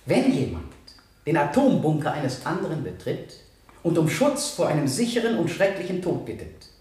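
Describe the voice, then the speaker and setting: An actor in a radio play voicing a stern, impassioned male prosecutor who addresses a jury in formal, emphatic oratory with deliberate pauses. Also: fairly high pitch, huge pitch swings, a little mellow. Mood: impassioned, accusing. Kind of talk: 1970 German radio play, courtroom oratory. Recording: echoey courtroom sound, distant from mic.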